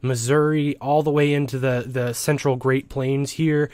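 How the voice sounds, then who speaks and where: An American man hosts an informal weather forecast in a mid-range voice. He explains as he goes, haltingly, sometimes repeating a word before carrying on. The sound is close and dry, with very little room around it. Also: mid-range voice, little pitch movement, a little mellow, no room sound. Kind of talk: informal weather map commentary.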